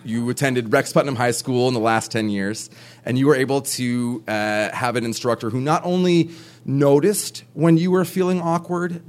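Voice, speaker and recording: Nervous young man telling a stage story. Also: medium pitch. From Oregon, USA. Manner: nervous, restarts and stammers, lively. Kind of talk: personal story told to a live audience.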